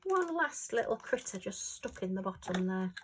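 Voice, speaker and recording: A woman chatting casually at home while she works on a craft, rambling and homely with lots of fillers, her voice a little hoarse. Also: medium pitch, big pitch swings, normal speed, background noise. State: slightly hoarse. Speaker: crafting vlogger.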